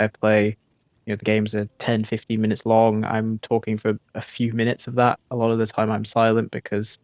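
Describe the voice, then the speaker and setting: A man giving relaxed post-game commentary over his gameplay, laid-back and self-critical, with frequent ums and uhs. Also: fairly low pitch, no echo. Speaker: gaming video creator.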